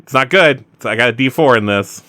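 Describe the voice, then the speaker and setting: A man's voice: mid-range voice, expressive, brisk, pushed and bright. A man playing a tabletop roleplaying game, chatting casually and improvising with joking, mock complaints. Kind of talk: casual chat with in-character improvisation.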